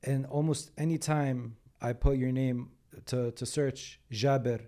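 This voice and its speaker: A man's voice: medium pitch, steady tone, unhurried, dark tone. A man hosting an interview, asking questions hesitantly with fillers like "like" and "um". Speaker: podcast host.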